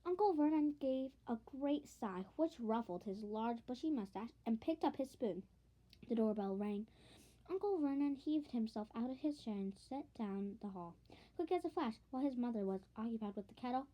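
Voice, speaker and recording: High-voiced woman reading a story aloud. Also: normal speed, muffled recording. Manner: expressive, occasionally stumbles and repeats phrases. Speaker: audiobook reader. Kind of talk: scripted storybook narration, read aloud.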